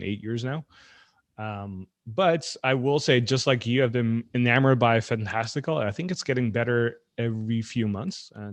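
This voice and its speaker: A man's voice: on the low side, no echo. A man from the Netherlands co-hosting a podcast, chatting casually with plenty of fillers. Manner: conversational, loose, with many fillers. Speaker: podcast co-host.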